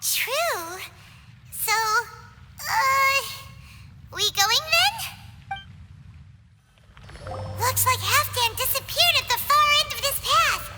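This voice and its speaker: A woman voicing a tiny, excitable, childlike fairy companion in a video game, squeaky and bubbly. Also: takes it slowly, slight background noise, heavily acted. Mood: hesitant. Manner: bubbly, exclaiming, refers to herself in third person.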